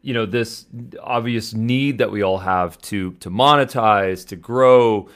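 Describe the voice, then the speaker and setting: A man's voice: fairly low pitch, measured pace, fairly bright tone. A man hosting a podcast interview, introducing his guest with upbeat energy. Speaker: podcast host.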